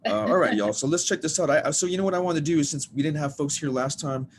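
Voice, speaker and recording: A man's voice: a little high, quick pace, slight background noise. A man leading a workshop over a video call, talking in a loose, rambling, self-deprecating way and saying "y'all". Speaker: workshop leader. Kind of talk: casual teaching talk. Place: at home, typing on a bed.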